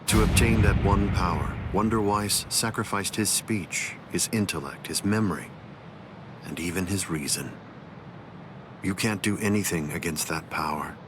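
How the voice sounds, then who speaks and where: An actor playing a calm, menacing villain swordsman in an anime video game, speaking in a measured, formal, condescending way. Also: low voice, noisy background, lightly acted. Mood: smug, calm. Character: calm, menacing male villain swordsman, coldly superior schemer. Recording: loud music behind the voice. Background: music.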